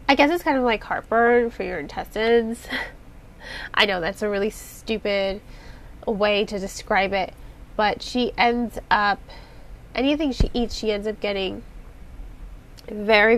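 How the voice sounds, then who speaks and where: A woman hosts her podcast with a congested, nasal sound, giving a chatty book review. She rambles, restarts and fills pauses with "um" and "you know". A faint hiss sits low behind her voice. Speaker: podcast host reviewing books. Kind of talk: casual spoken book review.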